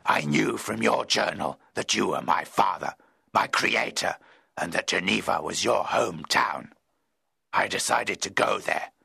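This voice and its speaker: A man voicing a lonely, anguished outcast monster who tells his own story in a dramatic audiobook, gravelly, husky and theatrical. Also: fairly low pitch, pushed and bright, no echo, studio quality, heavily acted. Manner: intense, deliberate, theatrical.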